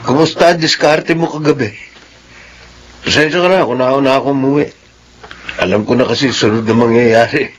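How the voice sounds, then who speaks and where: An actor playing a skeptical, teasing best buddy with mocking disbelief in a Filipino radio drama. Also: male voice, medium pitch, normal speed, pauses often, soft background music, lightly acted. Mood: mocking, amused.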